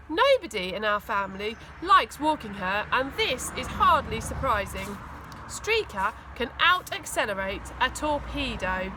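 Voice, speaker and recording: A woman reading a children's story aloud in an expressive, dramatic way. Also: high voice, slight background noise. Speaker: school teaching assistant reading aloud.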